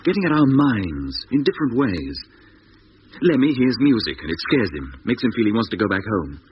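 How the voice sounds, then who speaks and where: An actor playing a commanding, resolute expedition captain with a clipped, authoritative manner in a 1950s radio drama. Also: male voice, mid-range voice, sing-song, brisk, lightly acted. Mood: uneasy, thoughtful. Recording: muffled, hissy 1950s radio recording.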